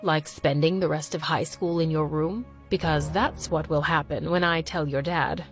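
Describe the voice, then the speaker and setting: Woman putting on a smug low-pitched British teenage boy. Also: fairly even intonation, moderate pace, faint music behind. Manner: affected, sophisticated, teasing. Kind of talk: dramatized first-person story.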